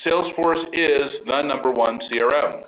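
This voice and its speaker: A man's voice: medium pitch, moderate pace, muffled recording. A man, a company chief executive, speaking on an earnings call in an upbeat, enthusiastic way, with frequent fillers. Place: remote call line from abroad.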